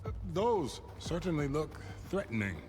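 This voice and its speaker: An actor playing a formal, deferential attendant to a lord in theatrical video game dialogue. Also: male voice, on the high side, big pitch swings, a little slow. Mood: flattering, deferential. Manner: obsequious, formal. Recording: long hall reverb, music behind.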